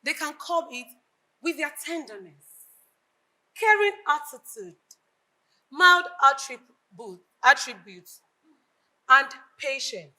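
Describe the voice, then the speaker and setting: A woman's voice: high-pitched, animated, slow, long pauses, sharp tone, exaggerated voice, low-quality recording. An actress playing an indignant, earnest woman in a stage play, with a Nigerian accent and an emphatic, declamatory delivery. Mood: resigned. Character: indignant, earnest Nigerian woman lamenting her country's ills. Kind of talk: projected stage play acting.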